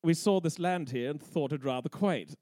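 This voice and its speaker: A man's voice: fairly high pitch, normal speed, dark tone, no room sound, natural voice. A man voicing a pompous British colonial officer who talks in a prim, haughty, overly polite way.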